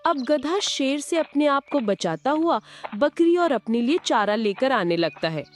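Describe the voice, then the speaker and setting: A woman narrating a children's cartoon story in an expressive manner. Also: high-pitched, measured pace, slight background noise. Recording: music bed under narration.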